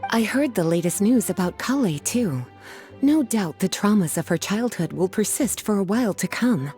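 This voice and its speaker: Woman acting elegant game big-sister type, coy n teasing, takes her time, pitch swoops around, bit of music under it. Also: animated, moderate pace, a little mellow, natural voice. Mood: warm, reflective. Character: teasing, elegant young woman scholar, playful older-sister temper. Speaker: video game character voice. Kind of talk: scripted game dialogue acting.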